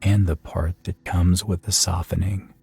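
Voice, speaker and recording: Very deep, mellow male meditation guide. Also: level tone, creaky, slightly rough. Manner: soothing, unhurried, with long pauses. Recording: soft music behind the voice. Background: faint meditation music.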